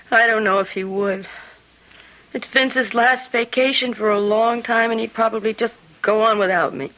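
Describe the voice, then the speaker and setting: Earnest, bright-voiced young woman. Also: on the high side, steady tone, measured pace, low-quality recording. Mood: torn, pleading. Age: young adult. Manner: hesitant, earnest, pleading. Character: torn, earnest young secretary, lonely orphan in love. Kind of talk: radio drama acting.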